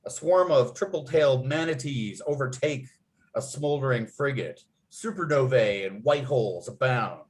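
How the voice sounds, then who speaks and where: A man acting as narrator at a table read, reading out script and stage directions. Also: mid-range voice.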